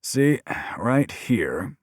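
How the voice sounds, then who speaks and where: A man voicing a tubby old medical examiner in an audiobook, grimly matter-of-fact and measured as he explains. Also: mid-range voice, sing-song, darkish tone, slightly rough, no echo, clean studio sound, voice pushed up, exaggerated voice.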